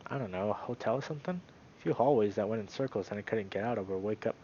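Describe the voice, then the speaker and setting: A man voicing a shaken, woozy male ram character in a visual novel, halting and confused. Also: on the low side, dark tone, dull audio, slight background noise, close to an everyday voice. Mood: confused, uneasy.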